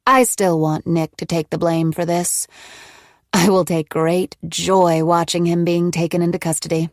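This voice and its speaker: A composed, menacing woman keeps her voice low and even while she threatens her captive, as a woman reading the story puts her on. The recording is close and dry. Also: no echo. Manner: calm, measured, coolly threatening. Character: cold, calm woman captor, quietly menacing.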